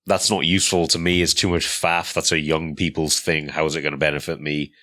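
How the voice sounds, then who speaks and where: Congested, nasal man with a very deep voice. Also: fairly quick. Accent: Welsh. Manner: relaxed, laughs often. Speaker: podcast co-host. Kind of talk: casual chat.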